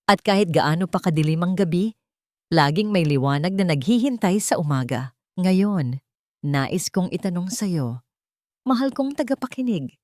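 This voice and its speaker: A woman narrating a scripted sentimental story in a measured, earnest way. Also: lowish voice, animated, darkish tone, no echo, studio quality. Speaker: storyteller, narrator of audio drama. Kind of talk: scripted dramatic first-person narration.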